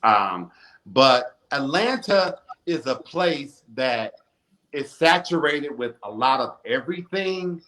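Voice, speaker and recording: A man in his forties with a strong baritone voice, a radio host speaking as an interview guest in an emphatic, animated way. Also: takes it slowly. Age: 40s. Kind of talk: casual interview conversation.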